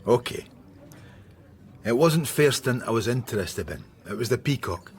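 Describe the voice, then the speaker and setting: An actor playing a weary, sardonic middle-aged police inspector in a radio drama, with a gruff manner of speaking. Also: male voice, mid-range voice, expressive, moderate pace, natural voice. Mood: brooding.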